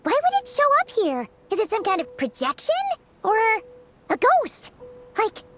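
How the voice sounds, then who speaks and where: A woman playing a tiny, excitable female companion sprite in an animated game style. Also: extremely high pitch, moderate pace, exaggerated voice. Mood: puzzled. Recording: game music behind the voice.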